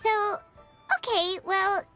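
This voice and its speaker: A woman voicing a tiny, curious, anxious fairy companion in a video game, with a squeaky childlike voice and a questioning manner. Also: steady tone, takes it slowly, sharp tone, faint music behind, full character voice. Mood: curious, puzzled. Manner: refers to self in third person, questioning.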